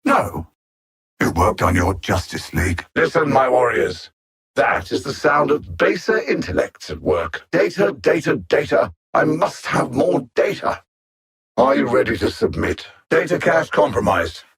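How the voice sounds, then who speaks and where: An actor playing a cold, arrogant alien android supervillain in a video game, speaking precisely with pompous, condescending menace. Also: male voice, mid-range voice, very animated, unhurried, creaky, fairly bright tone, slightly rough, exaggerated voice. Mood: commanding. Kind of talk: scripted video game villain dialogue.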